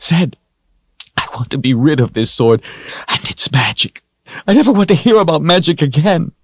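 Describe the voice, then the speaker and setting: A man's voice: mid-range voice, lively intonation, normal speed, no echo, muffled recording, pitched above the performer's own voice, close to an everyday voice. A man voicing a troubled young hero, his lines strained and rising into anguished outbursts. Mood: despairing.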